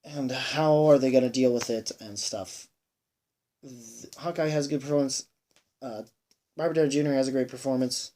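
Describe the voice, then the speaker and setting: Male movie reviewer, mid-pitched, casual and unscripted, halting but emphatic, somewhat tired, slightly noisy recording. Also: fairly even intonation, warm tone. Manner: rambling, halting, repeats phrases for emphasis; sweary, emphatic. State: tired, sighing.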